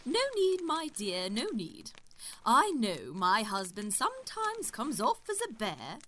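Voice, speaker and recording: A woman's voice: fairly high pitch, huge pitch swings, measured pace, fairly bright tone, a little hiss or hum, full character voice. A woman playing a motherly, fussing duchess who runs her household kindly, in an audio drama.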